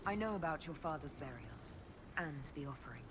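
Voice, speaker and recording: A composed female leader in a fantasy game, her voice on the low side. She speaks formally and calmly, like a diplomat putting questions to allies. There is clear ambient noise behind her. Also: a little fast. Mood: gentle, concerned. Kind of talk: video game dialogue acting.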